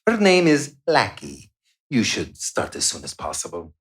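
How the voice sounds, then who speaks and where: A man's voice: on the low side, huge pitch swings, a little slow, heavy background noise. A man playing an authoritative doctor explaining things in a live radio play. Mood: instructive.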